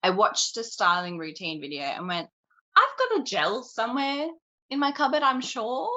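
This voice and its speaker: A young woman with a Queensland Australian accent, chatting as an interview guest and telling stories with animated energy. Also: on the high side, moderate pace, dull audio. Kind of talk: casual chat.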